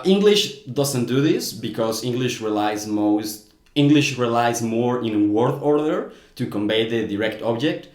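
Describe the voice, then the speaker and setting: A man's voice: mid-range voice, a little mellow. Mexican man explaining carefully in an echoey room. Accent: Mexican Spanish. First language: Spanish. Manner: earnest, explains carefully with frequent restarts. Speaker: YouTuber commenting on language. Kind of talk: casual explanatory commentary.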